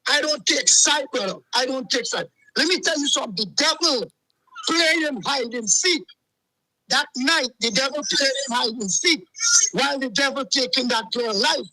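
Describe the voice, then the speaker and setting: A man's voice: very high voice, normal speed, sharp tone, no echo, rough recording. A man, calling in to a show and ranting heatedly, repeating himself. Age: 40s. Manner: agitated, repetitive, keeps saying "let me tell you something". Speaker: caller. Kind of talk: heated call-in rant.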